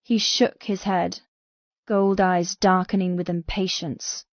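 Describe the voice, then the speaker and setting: A woman's voice: fairly low pitch, flat delivery, slow pace, dry recording, dull audio. Woman acting an anguished, defiant young heroine, very dry. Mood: agitated, defiant. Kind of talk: dramatic first-person audiobook narration.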